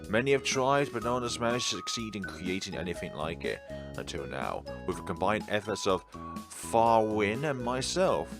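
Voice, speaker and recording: Low-voiced man doing let's play commentary. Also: very animated, moderate pace, music in the background. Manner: rambling, musing asides. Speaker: gaming video host. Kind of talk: let's play commentary, reading game text aloud.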